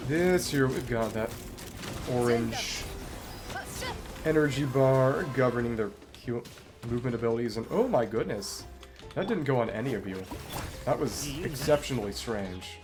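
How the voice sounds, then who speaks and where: A man's voice: mid-range voice, lively intonation, moderate pace. A man giving casual gameplay commentary as a streamer, explaining mechanics in a wordy, understated way over game music. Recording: music behind the voice.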